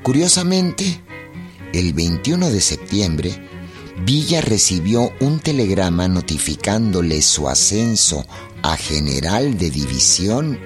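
A man giving formal, measured narration for a historical radio drama. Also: sits low, big pitch swings. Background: instrumental music.

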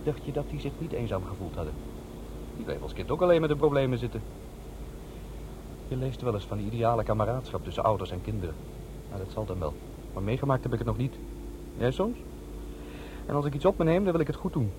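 Man as a fast-talking, cynical young son. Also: mid-range voice, animated, lightly acted. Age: young adult. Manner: blunt, sceptical, challenging. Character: cynical young adult son, blunt, challenging his father. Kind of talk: radio drama dialogue. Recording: clear vehicle noise behind voice. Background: car driving ambience.

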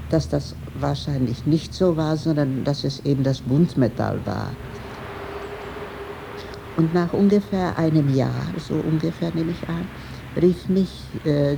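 An older woman recounting a memory spontaneously as an interviewee, halting and self-correcting as she goes. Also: low voice, vocal fry. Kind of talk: spontaneous reminiscence. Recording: noisy location recording with vehicle sounds.

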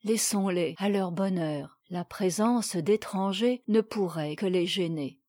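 Woman voicing a quiet, measured, tactful gentleman. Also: high voice, no echo, voice pushed down. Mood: calm, reassuring. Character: calm, tactful gentleman in a storybook.

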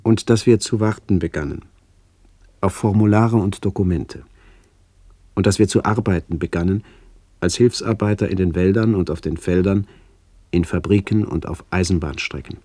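A man with a very deep, dark voice narrates a literary radio piece. His delivery is solemn and measured, slightly husky and recorded very dry and close. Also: no echo.

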